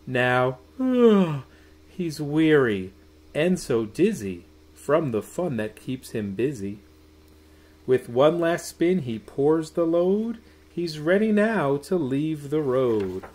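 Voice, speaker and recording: Expressive, unhurried man reading a bedtime story. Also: on the high side. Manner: sing-song, drawn-out words with soft hushed goodnights. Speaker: picture book reader. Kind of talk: expressive read-aloud of a rhyming children's bedtime story. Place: small room at home.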